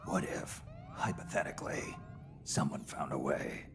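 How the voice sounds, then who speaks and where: An actor playing a gruff, weary soldier in a video game, questioning skeptically in a gravelly, breathy growl. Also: male voice, a little slow, noisy background, close to an everyday voice. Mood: skeptical, probing. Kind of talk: video game cutscene dialogue.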